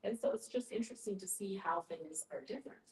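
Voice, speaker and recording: A woman's voice: medium pitch, fast talker, darkish tone. A woman thinking aloud conversationally as a participant in a reflective group discussion. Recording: echoey room with background noise.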